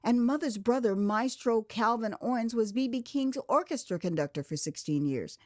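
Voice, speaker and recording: Expressive high-voiced American woman telling her story. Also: no room sound, clean studio sound. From Texas, United States. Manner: expressive, theatrical delivery with sighs and pauses. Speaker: author narrating her own memoir. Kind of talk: dramatized first-person audio memoir storytelling. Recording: music behind the voice. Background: music.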